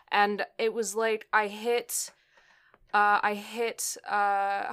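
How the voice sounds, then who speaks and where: A woman's voice: mid-range voice, a bit bright, smooth. A woman with partial hearing loss, chatting casually as a guest about audio editing, laughing often between fillers. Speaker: audio drama editor and guest. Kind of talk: casual conversation.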